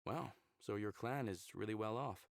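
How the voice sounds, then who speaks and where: A man's voice: lowish voice, normal speed, creaky, dry recording, clean studio sound. A man playing a friendly, curious human lover in a visual novel, speaking casually and inquisitively. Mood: curious, sympathetic. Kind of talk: visual novel character acting.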